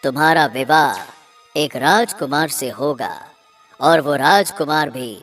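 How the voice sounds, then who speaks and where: A man voicing a solemn ancient tree spirit in a cartoon, with grave, measured pronouncements. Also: on the high side, fairly bright tone, soft background music. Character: solemn ancient magic red tree spirit granting a sword.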